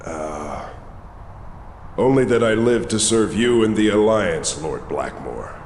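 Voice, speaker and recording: A man voicing a young orc slave warrior in an animated fantasy game, earnest, subdued and plain-spoken. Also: on the low side, takes it slowly, slight background noise. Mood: obedient, subdued. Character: young adult male orc slave warrior, dutiful, reluctant. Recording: echoey reverberant stone room, music.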